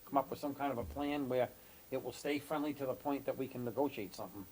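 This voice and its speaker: A man chairing a town board meeting, moving the agenda along and asking brisk procedural questions. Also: medium pitch, a little mellow, low-quality recording. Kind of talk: running a public meeting.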